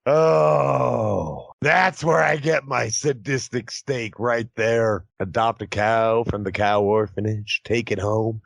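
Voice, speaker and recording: A man's voice: medium pitch, slow, creaky, few pauses, a bit bright, dry recording, clean studio sound. A man co-hosting a comedy podcast, joking and riffing in casual banter. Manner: joking, riffing on absurd tangents. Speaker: podcast co-host.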